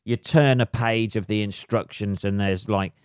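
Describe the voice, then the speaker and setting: A man, a little hoarse, ranting comically and swearing constantly in a rambling solo podcast monologue. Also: fairly low pitch, steady tone, normal speed, no room sound, studio quality. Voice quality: heavy swearing, rambling with many fillers. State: slightly hoarse. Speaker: podcast host. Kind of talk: solo comedic rant.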